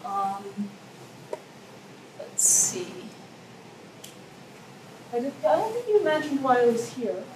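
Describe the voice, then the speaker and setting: A woman in her forties speaks thoughtfully and hesitantly, pausing on frequent ums. Her voice is mid-pitched. She is caught from a distance in an echoing room over noticeable background noise. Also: very animated. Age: 40s. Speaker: workshop participant. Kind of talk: casual personal sharing. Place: conference workshop room. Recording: echoey room, distant mic, noisy.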